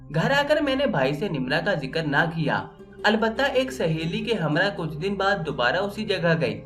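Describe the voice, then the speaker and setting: A woman reading a first-person story as scripted narration, in an expressive, emotional, dramatic storytelling manner. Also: fairly low pitch.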